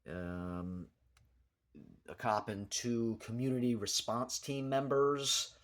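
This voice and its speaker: A man's voice: mid-range voice, fairly even intonation, takes it slowly, fairly bright tone. A man giving an unscripted, rambling local news commentary in a hesitant, matter-of-fact way, like a small-time vlogger talking through events.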